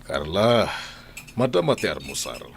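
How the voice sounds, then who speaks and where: Household patriarch played by a man, very low, varied intonation, questioning, faint noise behind. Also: big pitch swings, unhurried, gravelly, full character voice. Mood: reproving. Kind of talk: audio drama acting in dialogue.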